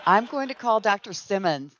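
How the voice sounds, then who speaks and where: An actress playing a gentle, worrying middle-aged American mother in a 1950s radio sitcom, tender and a little anxious, on a dull vintage recording. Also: mid-range voice, lively intonation, moderate pace, noisy background, natural voice. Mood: worried.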